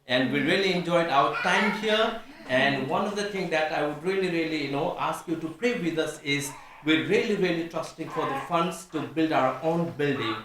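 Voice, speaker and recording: Man from Nepal, Nepali accent, visiting pastor, halting earnest talk, somewhat high voice, distant mic. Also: fairly bright tone, slight background noise. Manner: earnest, halting, with frequent restarts and fillers. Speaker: visiting pastor and church planter. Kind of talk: church talk to a congregation. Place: church hall. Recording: echoey church hall from far off.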